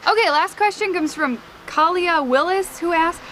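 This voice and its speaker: Young female vlogger, high voice, light Wisconsin accent, chatty filler-heavy delivery, faint background hiss. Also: moderate pace, fairly bright tone. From United States, Wisconsin. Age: young adult. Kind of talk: casual Q and A chat to camera.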